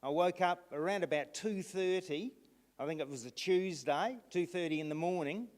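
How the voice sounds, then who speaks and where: A man addressing a council meeting, recounting events haltingly with restarts. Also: high-pitched, darkish tone. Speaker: resident speaking at council meeting. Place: council chamber. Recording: long reverb in a hall.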